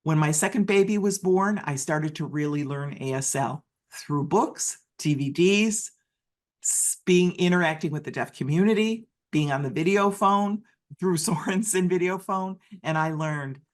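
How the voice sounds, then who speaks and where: An interpreter voicing a deaf speaker's personal story in the first person, in halting phrases full of restarts and self-corrections. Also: mid-range voice.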